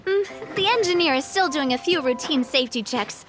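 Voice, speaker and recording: A woman's voice: high voice, expressive, normal speed, fairly bright tone, a little hiss or hum. A woman voicing a cheerful, responsible young woman leader who talks in a breezy way and laughs often. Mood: upbeat. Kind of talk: video game voice acting.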